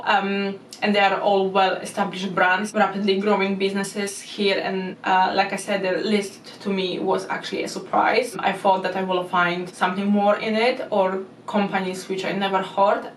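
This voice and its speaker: Polish woman vlogging, earnest, kinda flat voice, mic far off in an echoey room. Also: mid-range voice, slight background noise. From Poland. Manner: earnest, non-native phrasing with frequent restarts. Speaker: YouTuber. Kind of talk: casual explainer monologue. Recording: roomy echo, speaker away from the mic.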